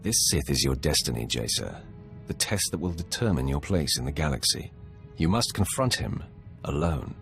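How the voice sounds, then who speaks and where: An actor playing a stern Sith master in a video game, speaking with measured, formal authority. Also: male voice, rumbling low, very animated, creaky, a bit bright, soft background music, exaggerated voice. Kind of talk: dramatic video game voice acting.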